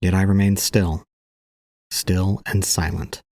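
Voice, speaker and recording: A man playing a detached, resigned young student, narrating solemnly and calmly in an audio drama. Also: low voice, slow, gravelly, no room sound, studio quality, heavily acted. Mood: brooding. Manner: solemn, calm, unemotional. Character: detached, resigned young male student recounting his own ordeal. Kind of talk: scripted first-person audio drama narration.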